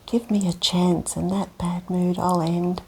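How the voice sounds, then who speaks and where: A woman voicing a cheerful, friendly butterfly in a soft whisper. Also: fairly low pitch, level tone, unhurried, a little hiss or hum, low-quality recording.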